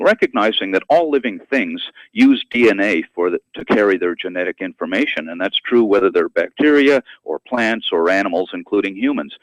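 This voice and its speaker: A man speaking as an expert guest in a radio interview, explaining in a measured, educational manner. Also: on the low side, no room sound, rough recording. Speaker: guest expert, university professor and author.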